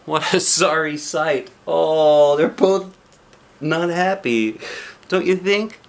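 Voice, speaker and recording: A man reading a children's book aloud like a dad, with expressive narration aimed at young listeners. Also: on the high side, slow, creaky. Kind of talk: animated children's storybook narration.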